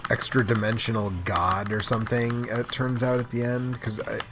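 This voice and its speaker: A man chatting casually as he plays a game, commenting in rambling, halting asides. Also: medium pitch, little pitch movement. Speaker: host playing an arcade game. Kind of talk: casual gaming chat and commentary. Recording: clearly noisy room, clicking controls and keys.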